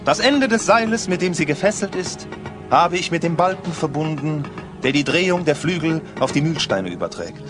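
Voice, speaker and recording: A man's voice: on the high side, lively intonation, normal speed, over music, natural voice. A man playing a scheming, boastful villain in a German audio play, smug, theatrical and menacing. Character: scheming, boastful male villain, a kidnapper and swindler.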